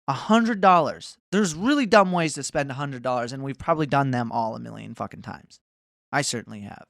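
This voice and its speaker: A man's voice: medium pitch, a little fast, gravelly, fairly bright tone, no echo, studio quality. A man in his twenties ranting casually to camera, swearing often and wandering between mocking asides. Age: 20s. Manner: profane, rambling, swears often. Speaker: vlogger.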